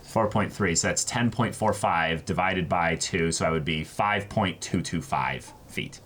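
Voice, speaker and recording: A man's voice: sits low, unhurried, slight background noise. A man co-hosting a show, chatting casually and playfully, often repeating himself emphatically as he makes his point. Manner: animated, repeats phrases and restarts emphatically. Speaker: co-host of an unboxing show.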